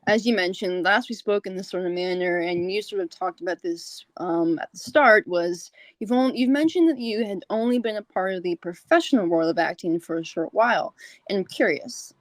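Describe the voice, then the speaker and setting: A woman's voice: medium pitch, moderate pace, dry recording. Woman podcast host with a dry, close sound. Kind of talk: conversational interview hosting.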